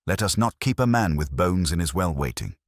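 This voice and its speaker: A man reads as a commanding detective with a very deep voice. He speaks in measured, clipped deductions with lively swings of pitch, as in a mystery audiobook. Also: dry recording, studio quality. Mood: brisk, probing. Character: incisive, commanding male English detective.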